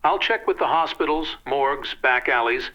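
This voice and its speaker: A man acting as an eager, polite detective in an old-time radio play, deadpan in his questioning. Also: mid-range voice, moderate pace, lightly acted. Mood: businesslike. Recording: dull, band-limited radio-style recording.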